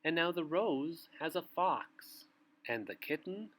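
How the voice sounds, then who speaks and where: A man reading a picture book aloud to young children, with theatrical, drawn-out phrasing and asides to his listeners. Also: fairly high pitch, animated, a bit bright.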